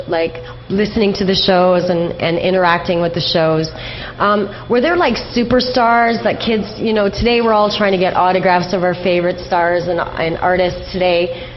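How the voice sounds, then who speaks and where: A woman hosting a casual radio interview, speaking informally in wordy, loosely phrased questions and remarks. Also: fairly low pitch. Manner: wordy, informal questions padded with "like" and "you know". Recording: dull, lo-fi radio interview with noise.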